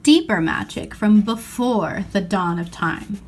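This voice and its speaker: A woman reading a children's novel aloud as an expressive storyteller. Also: mid-range voice, measured pace, slight background noise. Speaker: storybook reader.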